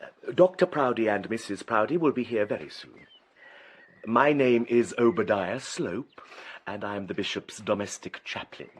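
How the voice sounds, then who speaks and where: An oily, flattering chaplain in a period radio drama. His voice is low and husky, with lively, insinuating turns and over-polite hesitations. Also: normal speed. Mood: ingratiating. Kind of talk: literary radio drama acting.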